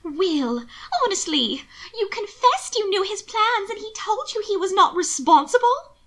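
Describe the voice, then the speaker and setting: Woman playing a high, tearful, frantic sister. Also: expressive, moderate pace, pushed and bright. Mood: aghast, insistent. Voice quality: voice thick with tears, breathy. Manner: agitated, insistent, emphatic. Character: frightened, tearful, suspicious sister of a gentleman. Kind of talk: audiobook character dialogue.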